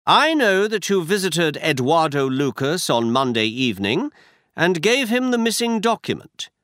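A man's voice: on the high side, sing-song, moderate pace, fairly bright tone, no echo, clean studio sound, pitched above the performer's own voice. A man voicing a cool, insistent male detective in a storybook reading, firm and pressing.

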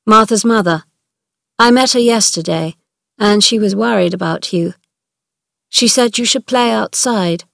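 A woman voicing a sad, tired older man who is ill and worried, speaking quietly and kindly. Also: mid-range voice, a little slow, gravelly, long pauses, no echo, clean studio sound. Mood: weary. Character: sad, weary, ill older male guardian.

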